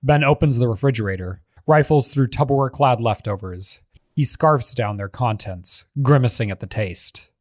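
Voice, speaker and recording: A man's voice: medium pitch, normal speed, no echo, studio quality. A man giving scripted narration, reading a screenplay's stage directions as the narrator of a table read.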